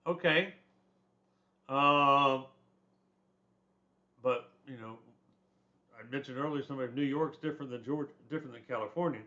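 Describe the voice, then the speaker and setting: A man's voice: medium pitch, moderate pace, long pauses, bright, forceful tone. An older man, a retired soldier, lecturing casually on a textbook chapter in a rambling, conversational way with restarts and asides. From born in Germany, raised in the United States. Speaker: instructor. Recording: slightly dull lo-fi recording.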